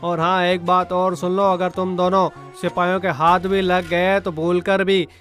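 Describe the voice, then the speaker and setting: A man's voice: high voice, level tone, moderate pace, fairly bright tone, faint music behind. A man playing a scheming, impatient merchant's servant in a moral-story cartoon, bossy and conspiratorial.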